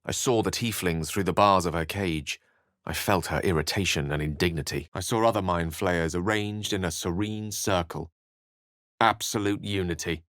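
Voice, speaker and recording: A man's voice: sits low, moderate pace, fairly bright tone, dry recording, clean studio sound. A male actor voicing a bookish, courteous wizard for a video game, speaking in wry, brooding, articulate and literary phrases. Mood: hushed narration. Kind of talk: video game voice acting, narration and dialogue.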